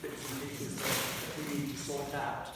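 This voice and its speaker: A man's voice: mid-range voice, level tone, measured pace. A man playing a flustered producer introducing the cast, stumbling with many ums, in an amateur radio play. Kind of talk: comic scripted radio play narration. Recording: echoey hall, mic far off, noisy.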